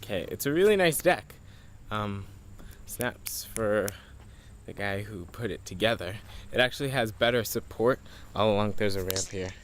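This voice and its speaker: Teenage guy with a lowish voice, handheld camera, chatty and rambling, self-amused, faint background hiss. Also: expressive, moderate pace. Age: teens. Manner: rambling, chatty, laughs at himself. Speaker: high school senior vlogger. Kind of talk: casual video diary. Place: at home, partly outdoors on a deck.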